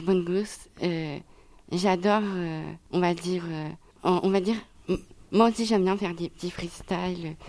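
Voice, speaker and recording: A young woman puts questions to the guests, chatty and hesitant with many fillers. Her voice sits somewhat low for a woman. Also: dark tone. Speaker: audience member asking questions. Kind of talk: casual question.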